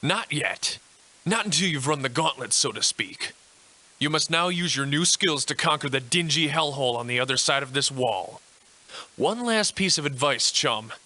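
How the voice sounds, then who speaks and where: A man's voice: on the high side, animated, moderate pace, sharp tone. A man voicing a pompous, eccentric Victorian-style instructor in a video game, cheerfully insulting and florid in his old-fashioned phrasing. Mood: stern, patronizing. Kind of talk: theatrical video game tutorial narration.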